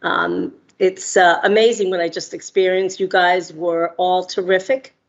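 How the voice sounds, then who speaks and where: Middle-aged woman from Argentina, halting speech. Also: lowish voice, little pitch movement, vocal fry, dull audio. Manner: friendly, frequent ums and uhs. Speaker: guest speaker, entrepreneur. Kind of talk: prepared remarks with fillers.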